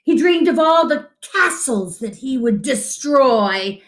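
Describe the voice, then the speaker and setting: Animated, high-voiced woman storyteller. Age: middle-aged. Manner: animated, dramatic, with deliberate pauses. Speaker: children's storyteller. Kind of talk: expressive picture-book reading. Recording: roomy home echo.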